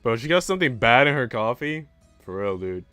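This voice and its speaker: A man's voice: mid-range voice, big pitch swings, brisk, pushed and bright, no room sound, soft background music. A man livestreaming, reading story narration aloud and adding slangy, playful casual commentary.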